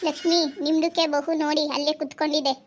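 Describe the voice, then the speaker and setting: A woman's voice: high-pitched, level tone, fast talker. A woman playing a blunt, cheeky neighbour woman who mixes Hindi words into broken Kannada.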